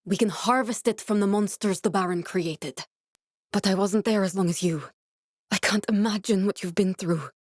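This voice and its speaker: A woman voicing an earnest, guarded young female scientist survivor in a video game, speaking in a measured, serious way. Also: medium pitch, no room sound, studio quality, close to an everyday voice. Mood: earnest, explanatory. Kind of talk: dramatic video game dialogue.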